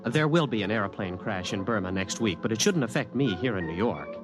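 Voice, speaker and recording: An actor playing an anxious young college student who narrates a 1950s radio drama, wry and prone to nervous stammering. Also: male voice, fairly low pitch, lively intonation, a little fast, over loud music, natural voice. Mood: wry, worried.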